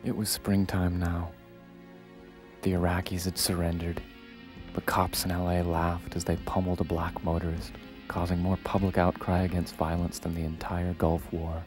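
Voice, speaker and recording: A man with a low, deep voice narrates a scripted documentary. He tells it like a story, at a measured delivery, with music clearly playing underneath. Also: completely flat delivery. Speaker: voice-over narrator.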